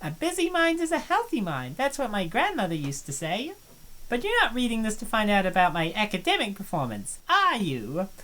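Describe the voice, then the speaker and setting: A woman's voice: medium pitch, wildly swooping pitch, normal speed, fairly bright tone. A woman voicing a shy young college student who is obsessed with a boy, reading in an earnest, confessional way. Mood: confiding. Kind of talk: visual novel voice acting.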